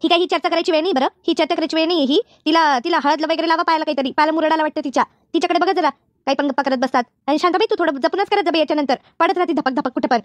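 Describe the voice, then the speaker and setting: A woman voicing a practical, chiding village woman in a comedy cartoon, brisk and no-nonsense. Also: sits high, no echo, lightly acted. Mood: scolding, concerned. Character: practical, scolding village woman, a concerned friend. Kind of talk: animated comedy cartoon dialogue.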